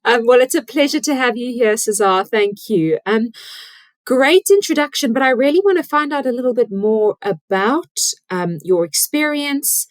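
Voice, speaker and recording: A woman's voice: a little high, lively intonation, moderate pace, no room sound. A woman co-hosting a podcast, cheerful and teasing in casual chat. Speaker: podcast co-host.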